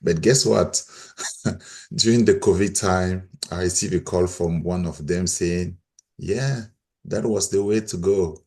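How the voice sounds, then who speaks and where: A man's voice: deep voice, slow pace, a little mellow. A middle-aged man with a Cameroonian accent, sharing personal stories as a panel guest. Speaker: panel guest, volunteer mentor.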